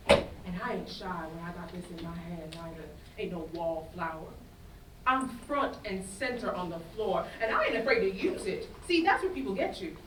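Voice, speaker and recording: A woman's voice: medium pitch, natural voice. A woman acting a bold, teasing, tough gun-toting woman in a staged play reading. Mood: boastful menace. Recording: roomy, distant stage recording with faint noise.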